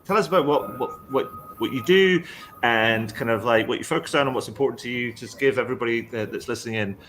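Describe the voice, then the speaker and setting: A man hosts a panel discussion in an informal, chatty style. His voice sits at a middling pitch. He rambles a little and is complimentary toward the people he addresses. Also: fast talker, a bit bright, a little hiss or hum. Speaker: panel moderator. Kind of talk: casual panel hosting.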